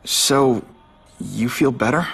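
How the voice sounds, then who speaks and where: A man's voice: medium pitch, vocal fry, fairly bright tone, husky, soft background music, heavily acted, poor audio. A man playing an earnest, wisecracking young adult superhero in a game, sincere and a little hesitant. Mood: guilty, hesitant. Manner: hesitant, sincere, quick to joke. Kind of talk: naturalistic dramatic game dialogue.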